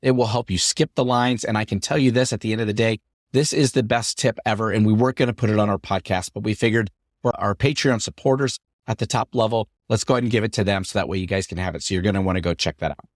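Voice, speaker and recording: A middle-aged man hosting his podcast in an upbeat, chatty way, his voice deepened by a lingering cough after an illness. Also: fast, no room sound, studio quality. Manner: upbeat, chatty, repetitive phrasing. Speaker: podcast host. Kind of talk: solo podcast talk.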